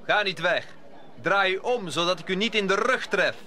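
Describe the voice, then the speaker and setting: An actor playing a brave, hot-headed young would-be musketeer in a radio drama, indignant and challenging. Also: male voice, sits high, normal speed, sharp tone. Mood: defiant.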